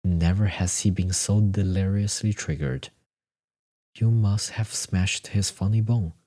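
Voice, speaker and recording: A man's voice: fairly low pitch, level tone, a little slow, mellow. A man voicing a friendly, effusive woman makeup artist in a story narration. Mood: amazed.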